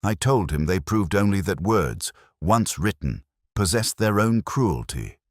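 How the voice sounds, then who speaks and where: A man plays a brooding middle-aged detective who muses aloud in an extremely deep voice that still rises and falls with feeling. His delivery is measured and literary, like a storybook mystery read in the first person. The sound is dry and close, with no room around it. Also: lively intonation, no room sound. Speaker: narrator. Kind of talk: scripted first-person detective narration, audio drama.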